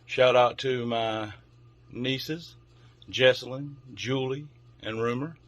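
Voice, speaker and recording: A man's voice: mid-range voice, slow pace, a bit bright. A man hosting a children's bedtime story show, speaking directly to young listeners as a storyteller. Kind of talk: friendly introduction, then read-aloud children's storytelling.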